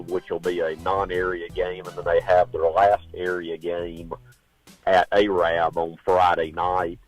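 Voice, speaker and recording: A man, a sports analyst on a call-in radio show, reporting local sports scores in a relaxed, rambling way with many uhs. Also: deep voice, rough recording.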